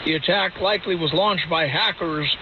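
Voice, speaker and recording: High-voiced male field reporter, noisy radio. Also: moderate pace. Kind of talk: live news report. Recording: muffled hissy radio relay.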